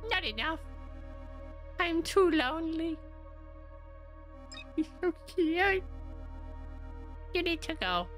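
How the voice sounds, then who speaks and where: A woman voicing a small, lonely male creature in a very high, squeaky voice, gentle and cryptic. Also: expressive, slow, long pauses, faint music behind, exaggerated voice.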